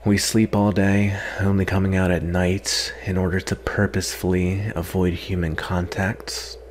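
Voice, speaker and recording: A man acting out a proud, sardonic captive vampire in a soft-spoken, disdainful ASMR roleplay. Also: sits low, flat delivery, unhurried, a little hiss or hum. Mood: bitter, defensive. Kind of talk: ASMR roleplay, acted monologue.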